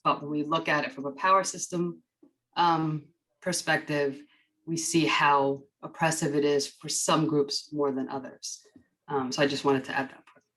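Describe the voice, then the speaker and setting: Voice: mid-range voice, fairly bright tone, poor audio. A seminar participant making an earnest point on a video call, with some fillers. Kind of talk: commenting in an online seminar.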